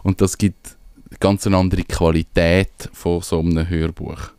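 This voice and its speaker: A man's voice: low voice, level tone, unhurried, a little mellow, smooth, no room sound. A man with a Swiss German accent co-hosting a podcast in a casual, conversational way. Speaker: podcast co-host.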